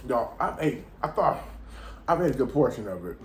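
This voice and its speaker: A man's voice: medium pitch, sing-song, moderate pace, a little hiss or hum. An American man chatting casually and slangily between bites as a food vlogger, with an informal way of talking. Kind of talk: casual chat while eating.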